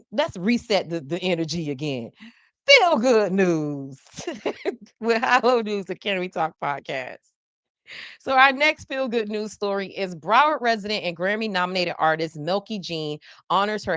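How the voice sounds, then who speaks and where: A woman's voice: mid-range voice, no echo. A woman hosting a live news podcast, talking casually and playfully to her viewers in the chat. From South Florida. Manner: animated, playful, talks to the chat. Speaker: podcast host.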